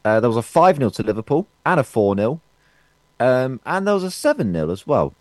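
A man's voice: mid-range voice, big pitch swings, a little fast, a little mellow, dry recording. A man co-hosting a casual football podcast, gloating and giggling as he talks. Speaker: podcast co-host.

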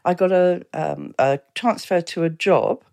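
A woman in her seventies from Exeter with an English accent, reminiscing casually and anecdotally as a podcast guest, quick to chuckle. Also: on the low side, creaky, darkish tone. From Exeter, England. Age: 70s. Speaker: town mayor, podcast guest.

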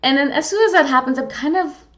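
A woman's voice: high-pitched, quick pace, fairly bright tone. A woman from India, talking in a candid, earnest, self-deprecating way in a casual, reflective monologue as a vlogger. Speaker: vlogger on personality types.

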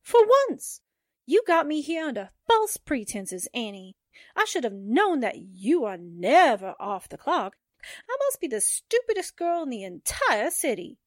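An actress playing a perky young secretary who complains melodramatically, in 1940s radio drama style. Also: female voice, sits high, very animated, unhurried, no room sound, studio quality. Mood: exasperated, playful. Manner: melodramatic good-natured complaining.

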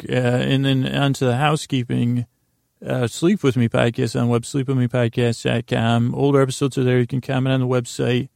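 Low gravelly guy rambling sleepy and slow, lots of uhs and restarts, dry close sound. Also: a little mellow, no echo. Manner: meandering, halting, with restarts and filler words; slow drowsy lulling delivery. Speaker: podcast host. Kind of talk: rambling bedtime monologue.

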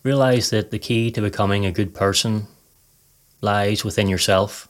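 A man narrating a scripted guided meditation in a calm, measured, soothing way. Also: on the low side.

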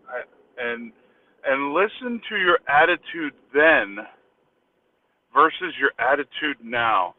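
A man leaving a casual, reflective voice message, halting as they search for words. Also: fairly high pitch. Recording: echoey, thin phone-like sound, distant.

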